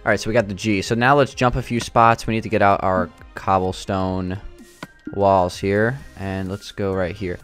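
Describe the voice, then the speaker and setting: Young male gaming host, low voice, a touch raspy, hesitant, unhurried chatter. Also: steady tone, faint music behind. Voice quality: slightly raspy from yelling. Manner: thinking aloud, hesitant, many fillers. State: sore throat. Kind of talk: casual gameplay commentary.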